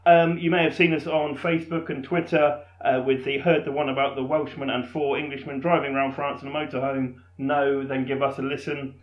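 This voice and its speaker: A man's voice: mid-range voice, little pitch movement, brisk, hardly any pauses. A man in his thirties hosting a casual podcast from his front room, joking and teasing his friends. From England. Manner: jokey, teasing. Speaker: podcast host. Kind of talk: casual chat. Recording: roomy, dull lo-fi home recording.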